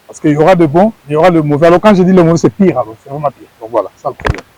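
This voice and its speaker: A man's voice: fairly high pitch, expressive, racing, darkish tone, a little hiss or hum, low-quality recording. A middle-aged man from Congo, a former wrestler, answering interview questions earnestly and often repeating words as he searches for phrasing.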